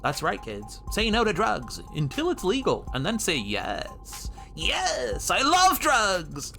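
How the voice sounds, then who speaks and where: A man's voice: sits high, wildly swooping pitch, slow pace, gravelly, bright, forceful tone. A man giving casual playthrough commentary as a game streamer, playfully musing aloud as he goes. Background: game music.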